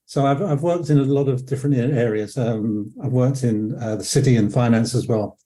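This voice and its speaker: Croaky older English man, very dark voice. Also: medium pitch, a little fast. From England. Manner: rambling, many ums and self-corrections. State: croaky from a cold. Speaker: webinar presenter. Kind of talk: relaxed webinar talk.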